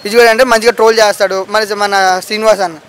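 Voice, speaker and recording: Man, high pitch, hurried wordy explanations, casual vox pop answers. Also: level tone, a crowd in the background. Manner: wordy, repeats phrases while explaining. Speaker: member of the public interviewed. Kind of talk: casual street interview opinion.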